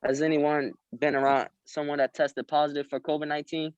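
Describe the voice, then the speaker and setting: A man's voice: fairly high pitch, level tone, fairly quick, clean tone, no echo. A man at a table read over a video call, reading script lines and coaching others between them. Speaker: script reader and prompter. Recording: dry, slightly dull call audio.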